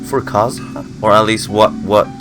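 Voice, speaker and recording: A man's voice: on the low side, fairly even intonation, moderate pace, over music. A man giving casual game reaction commentary as a streamer, musing and thinking aloud.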